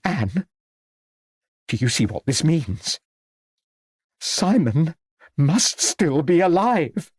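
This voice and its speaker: Agitated aristocratic old woman, acted by a man, high and insistent, for a storybook. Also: expressive, moderate pace, a little mellow, dry recording, studio quality. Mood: unsettled. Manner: insistent, indignant, demanding.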